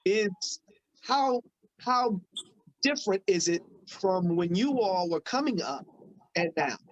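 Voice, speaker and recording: A man's voice: high voice, animated, takes it slowly, no room sound, lo-fi sound. A man in his forties hosting a casual group conversation, laughing often and repeating words as he talks. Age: 40s. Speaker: host.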